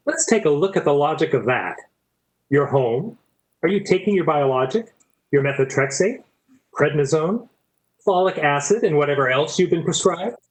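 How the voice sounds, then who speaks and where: A man's voice: on the high side, rough recording. A man working as a radio announcer, reading scripted sponsor spots and scene introductions in an old-time radio style.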